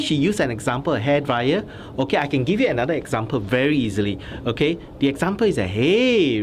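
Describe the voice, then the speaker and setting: A man hosting a tech interview at a trade fair booth, recapping features in a lively, filler-heavy way with lots of "okay?". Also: on the high side. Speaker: tech reporter host. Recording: noisy trade fair background.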